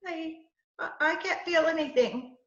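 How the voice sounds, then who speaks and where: A woman's voice: extremely high pitch, a little slow, bright, forceful tone, lo-fi sound, heavily acted. A woman playing a flustered, wheedling captive in a 1940s-style radio comedy, chattering with stammers and dithering, over a roomy video call. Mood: terrified pleading. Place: remote video-call performance.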